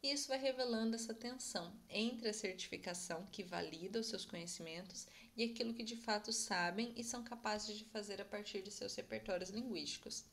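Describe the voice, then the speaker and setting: A young woman giving an academic conference presentation, explaining in a measured way and often checking in with her listeners. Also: medium pitch, a bit bright.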